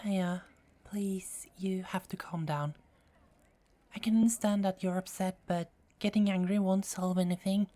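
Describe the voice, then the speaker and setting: A woman's voice: mid-range voice, fairly even intonation, warm tone, lightly acted. A woman playing a teasing, self-assured and soothing Norse goddess in an ASMR roleplay audio drama. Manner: teasing, chuckling, unhurried.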